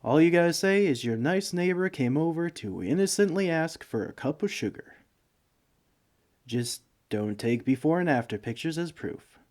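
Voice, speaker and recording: A man's voice: a little high, animated, normal speed, a little mellow, close to an everyday voice. Man playing a sheepish, broke supervillain neighbor. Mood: cocky. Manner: hesitant, pleading, self-justifying with nervous chuckles. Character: broke, bumbling, sheepish male supervillain neighbor begging for sugar. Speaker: voice actor in an audio roleplay. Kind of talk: comedic ASMR roleplay monologue.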